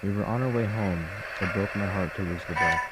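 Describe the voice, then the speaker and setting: Through loud noise and clicks, a teenage boy reads storybook narration. His voice sits slightly low as he performs for a school radio play. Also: a little fast. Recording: very noisy, clicking recording.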